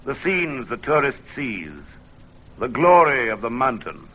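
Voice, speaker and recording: A man narrating an old-time radio adventure from a script in a measured, theatrical manner. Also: medium pitch, lively intonation, sharp tone. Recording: dull vintage radio recording. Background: music.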